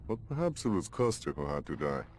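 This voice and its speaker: An actor playing a grave, kindly older uncle in a game cutscene, solemn and measured. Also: male voice, low-pitched, big pitch swings, darkish tone, faint music behind. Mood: proud, solemn. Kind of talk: video game cutscene acting.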